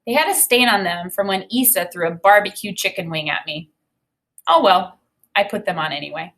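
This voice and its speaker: A woman teacher reading a children's novel aloud in an expressive way, with informal, explanatory think-aloud comments. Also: fairly low pitch, fairly quick.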